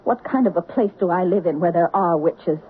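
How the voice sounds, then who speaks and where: An actress playing a frightened, demanding young wife who pleads tensely, in an old radio drama. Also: female voice, fairly low pitch, fairly quick, slight background noise, close to an everyday voice. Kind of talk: 1970s radio drama acting. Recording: tinny old radio broadcast.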